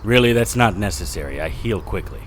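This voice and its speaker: A man's voice: on the low side, expressive, a little fast, slight background noise, close to an everyday voice. A man playing a gutsy, wisecracking 1940s detective hero in an audio drama, with a wry, hard-boiled way of talking. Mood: wry, confident. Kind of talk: full-cast audio drama acting.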